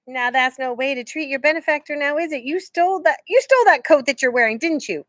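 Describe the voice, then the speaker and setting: A woman voicing a big, gruff retired outlaw with a folksy drawling way of talking. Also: sits high, expressive, fast talker, a bit bright, no echo, voice pushed up. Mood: scolding. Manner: drawling, folksy bad grammar.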